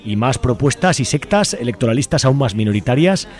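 A man's voice: medium pitch, lively intonation, brisk, darkish tone. A man with a Spain Spanish accent co-hosting a radio show, reading a scripted political editorial. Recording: music bed behind the voice. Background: music.

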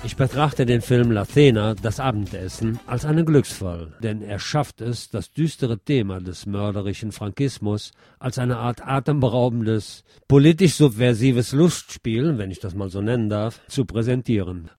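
A man presenting a scripted film review as a radio host, measured and articulate in his delivery. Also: medium pitch, dark tone, no room sound, clean studio sound, soft background music.

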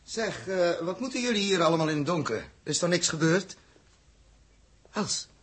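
A man's voice: high voice, animated, moderate pace, slightly rough. An actor playing an alarmed, authoritative male crew leader in a 1960s radio drama, with a Netherlands Dutch accent. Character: Joost, commanding alarmed spacecraft crew leader.

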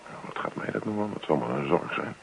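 Deep, gravelly, grumbling husband. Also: male voice, lively intonation, fast talker, exaggerated voice. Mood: irritated, reluctant. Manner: muttering to himself, hesitant and put-upon. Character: grumpy, self-pitying husband stuck on the toilet. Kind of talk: radio play acting. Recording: dull lo-fi radio play recording.

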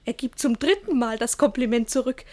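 Woman acting a innocent young girl, high voice, guileless, earnest, classic radio play verse. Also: animated, a little fast, mellow, natural voice. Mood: artless, eager. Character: naive, candid young girl, artlessly innocent.

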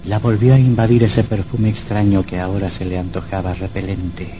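An actor playing a sinister storyteller, narrating an old radio horror drama in a theatrical, literary style. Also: male voice, low-pitched, flat delivery, moderate pace, dark tone, a little hiss or hum, lightly acted. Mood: ominous.

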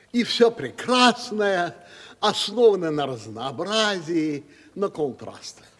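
Pompous oratorical old landowner, high-pitched, slow and flowery, reverberant studio. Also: male voice, exaggerated voice. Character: pompous flowery provincial landowner, older man. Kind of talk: 1970s studio radio play.

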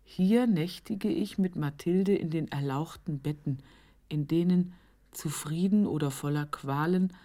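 A very low-voiced woman reads an affectionate, ornate letter aloud in a radio play. She takes it slowly, pausing and savouring the florid phrases. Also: dark tone. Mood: wistful, wry.

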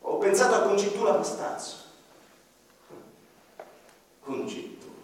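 A man's voice: sits high, expressive, unhurried. Reflective ordinary man recalling his lost home, played by a male actor: stage monologue in a big reverberant hall, mic at a distance, faint noise. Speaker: first-person narrator in a radio play. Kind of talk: stage radio-theatre monologue. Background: violin music.

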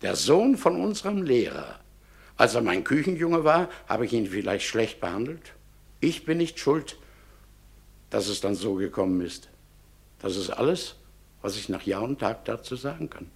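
A man's voice: mid-range voice, sing-song, moderate pace, full character voice. An actor playing a middle-aged innkeeper who insists before a court that he is not to blame. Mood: defensive. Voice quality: gravelly, husky. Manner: self-justifying, insistent. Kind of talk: radio drama monologue.

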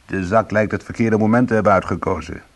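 A man's voice: lowish voice, fairly even intonation, fast, lightly acted. A man playing a bitter, sardonic small-time ex-convict in a Dutch radio play, protective and combative. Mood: bitter, wry. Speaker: lead actor and first-person narrator.

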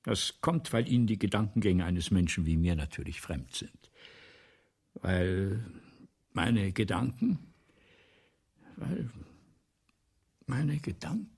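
Husky, grandiose old writer. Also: male voice, low-pitched, animated, a little mellow, exaggerated voice. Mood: condescending, self-important. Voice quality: husky, breathy, often dropping to a whisper. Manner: theatrical, pompous, halting and repetitive. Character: vain, ailing, forgetful old French writer, grandiose and rambling. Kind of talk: 1970s German radio play acting.

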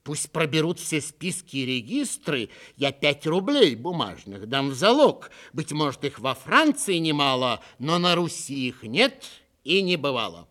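A man's voice: fairly high pitch, sing-song, unhurried, a bit bright. A man voicing a skeptical, self-righteous critic, fussy and indignant, in theatrical verse recitation. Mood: disapproving. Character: skeptical, disapproving, self-righteous reader grumbling objections.

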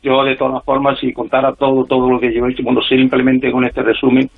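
A man's voice: medium pitch, monotone, quick pace, vocal fry. An older man, a former freelance spy, tells his story on a radio interview at length, with many fillers and restarts. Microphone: telephone call. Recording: tinny phone line.

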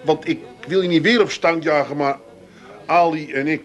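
An actor playing an awkward, well-meaning married son in a comedy radio play, halting and repeating his words. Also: a little high, muffled recording, close to an everyday voice. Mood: awkward, apologetic. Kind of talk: 1980s Dutch comedy radio play acting.

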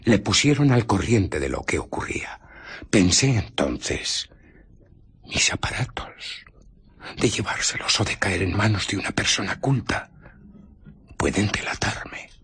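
An actor playing an embittered, furtive invisible scientist who recounts his escape in a theatrical, often hushed radio drama style. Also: fairly low pitch, very animated, a little slow, pauses often, husky, in a whisper, exaggerated voice.

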